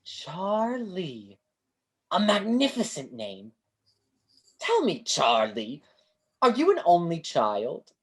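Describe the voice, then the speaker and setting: A woman's voice: lowish voice, wildly swooping pitch, takes it slowly, pauses often, heavily acted. A woman playing a grandiose, kindly street fortune-teller with florid delivery in a staged play reading over a video call. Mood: grand, genial. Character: Great Banzini, grandiose theatrical male street fortune-teller, kindly mystic.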